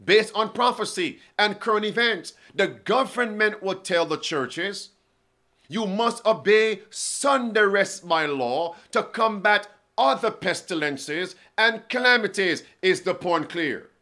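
Bright high-ish guy preaching slow and emphatic, reads quotes then hits you with questions. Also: animated. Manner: emphatic, reads quotations aloud and asks pointed questions. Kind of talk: prophecy sermon to camera. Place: indoor studio with bookshelves.

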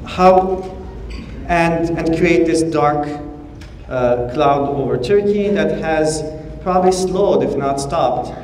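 A middle-aged man moderating a public forum, speaking in a measured, halting way with pauses mid-sentence. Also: a little high, darkish tone. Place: large hall stage, seated at table. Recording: echoey hall, distant, faint noise.

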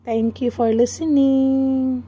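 A woman reads a lesson script aloud in a mellow, fairly high voice. She goes deliberately, one phrase at a time, as a teacher would for reading practice. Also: flat delivery, a little hiss or hum. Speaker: teacher and narrator.

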